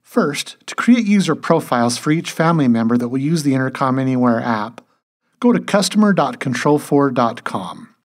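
A man reading scripted instructional narration as a tutorial narrator, in a measured, step-by-step way. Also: medium pitch, big pitch swings.

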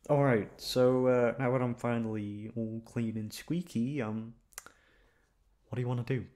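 A young man acting a shy, flustered, sweet-natured male classmate in an ASMR roleplay, speaking in a halting, stammering way. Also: medium pitch, fairly even intonation, warm tone, lightly acted. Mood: relaxed, shy. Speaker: voice actor. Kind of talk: ASMR roleplay acting, one-sided dialogue.

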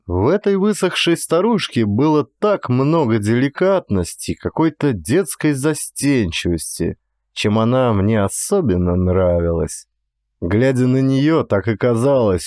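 A man reads classic prose as an audiobook narrator, with a very expressive, moving intonation. The sound is close and dry, and the delivery is that of a dramatic storyteller. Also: mid-range voice, no echo.

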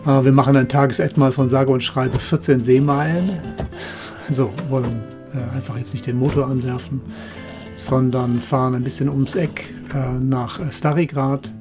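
A middle-aged man narrates as a skipper telling of his trip, with a mid-pitched voice. His delivery moves between written travelogue and off-the-cuff recollection. Music plays clearly behind him. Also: steady tone, moderate pace. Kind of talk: travel narration, partly scripted, partly spontaneous recollection.

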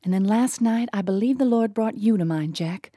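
Actress as an earnest, husky American missionary woman. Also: mid-range voice, normal speed, dark tone, no room sound, studio quality, close to an everyday voice. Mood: hopeful, tentative. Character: earnest, gracious missionary singer, musician's wife. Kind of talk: family audio drama acting.

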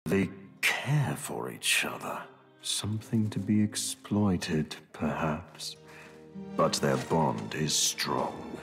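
An actor playing a gloating, scheming villain who covets a magic shard, in a game cutscene. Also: male voice, very deep voice, lively intonation, slow, creaky, heavily acted. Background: loud orchestral music.

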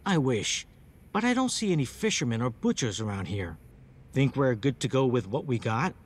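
A helpful young charity worker in a video game. He sounds easygoing, with a voice of middling pitch. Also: big pitch swings, takes it slowly, slightly rough, heavily acted. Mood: casual. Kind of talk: scripted bilingual game dub.

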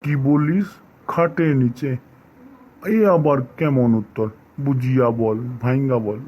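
A man voicing a gruff older village schoolmaster in a comedy cartoon, bossy, insulting and exasperated with his pupils. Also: mid-range voice, unhurried, highs cut off, close to an everyday voice. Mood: stern, puzzled.

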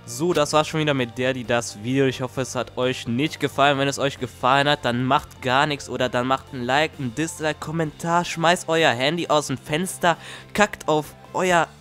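A man's voice: mid-range voice, steady tone, normal speed, a bit bright. A young man hosting a casual, flippant video commentary. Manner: flippant, jokey. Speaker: YouTube host. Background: background music with guitar.

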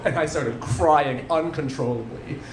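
A man's voice: a little high, unhurried. A man in his thirties from Canada doing a stand-up set into a handheld mic on a theatre stage, punchy with dry asides. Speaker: stand-up comedian. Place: theatre stage before a live audience. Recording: live club recording with audience noise. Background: audience laughter.

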